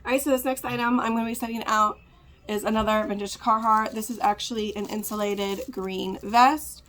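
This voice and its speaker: A woman with a congested, raspy voice, chatting casually as she packs orders to ship at home, rambling with lots of fillers. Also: on the high side, steady tone, moderate pace, fairly bright tone, a little hiss or hum. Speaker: part-time online reseller. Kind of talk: casual ship-with-me vlog chat.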